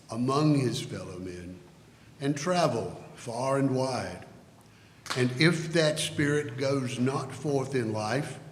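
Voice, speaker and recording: A tormented male ghost acted by a man declaims slowly and solemnly at a middle pitch. The dramatic stage reading rings through a very echoey hall. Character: grave, tormented ghost of male business partner. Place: large church, live performance. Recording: echoey hall with long reverb.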